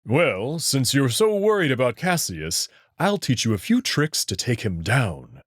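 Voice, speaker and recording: A man's voice: mid-range voice, very animated, unhurried, a bit bright, dry recording, studio quality. A man voicing a confident, teasing and protective alien wolf noble in a visual novel, speaking in an assured, slightly formal way. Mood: coaxing. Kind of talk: visual novel character acting. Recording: music behind the voice.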